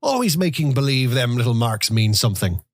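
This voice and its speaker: A man's voice: mid-range voice, sing-song, a little fast, no echo, studio quality, pitched above the performer's own voice, close to an everyday voice. A man voicing a cheerful, uneducated wild boy with rustic, ungrammatical speech, in an audiobook. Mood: teasing. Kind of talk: audiobook character voice.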